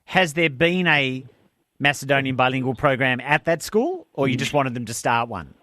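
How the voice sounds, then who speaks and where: A man hosting a talkback radio show, chatting conversationally and jumping in with quick questions. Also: medium pitch, lively intonation, pushed and bright, dry recording, clean studio sound. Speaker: radio host.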